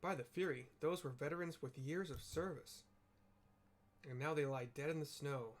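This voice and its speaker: A man's voice: fairly high pitch, normal speed, natural voice. A man reading aloud the lines of a stern noble commander of knights in a game, in a formal, grave manner.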